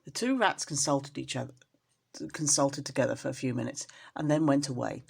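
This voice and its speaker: A woman storyteller reading expressively to young children, in an engaging, animated manner. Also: extremely low pitch, a little fast, creaky, husky. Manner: animated, addresses young listeners with questions.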